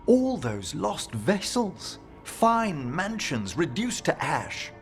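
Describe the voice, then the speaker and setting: An actor playing a gentle, earnest scholar of necromancy in a video game, speaking formally and precisely. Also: male voice, sits high, big pitch swings, slow, soft background music, exaggerated voice. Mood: fond, musing. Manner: formal, precise, eloquent speech. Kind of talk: video game character acting.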